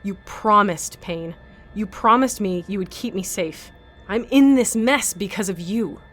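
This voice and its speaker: An actress voices a hard, bitter woman held captive to fight in an arena. She is blunt and sardonic, always looking out for herself and demanding answers. Her pitch is average for a woman, and music plays behind this audio drama. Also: a little slow, fairly bright tone. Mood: angry, bitter.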